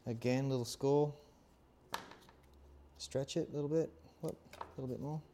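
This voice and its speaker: A middle-pitched man in his forties teaches a practical task. His voice is soft-edged and dark rather than sharp. He speaks informally and reassuringly, breaking his instructions up with little check-in questions. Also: steady tone, normal speed, a little hiss or hum. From Australia. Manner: informal, reassuring, often checks with "okay?" and "all right?". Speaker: instructor, helmet accessory maker. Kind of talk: casual hands-on tutorial. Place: small workshop studio indoors, seated at workbench.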